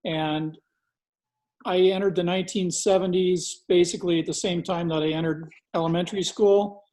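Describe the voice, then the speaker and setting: Man in his fifties, measured and orderly. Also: sits high, fairly even intonation, darkish tone. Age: 50s. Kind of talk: casual chat on a video call.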